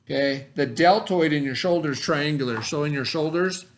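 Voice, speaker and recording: A man teaching by reading aloud to pupils, pausing to explain in casual asides and check that they follow. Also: on the high side, a little hiss or hum. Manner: pauses to explain, checks in with "okay?".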